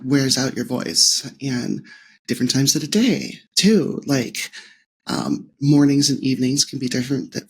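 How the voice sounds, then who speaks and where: A woman hosting a podcast. She asks questions in a relaxed, thoughtful way and uses many fillers. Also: a bass voice, slightly rough. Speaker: podcast host. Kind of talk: casual interview chat.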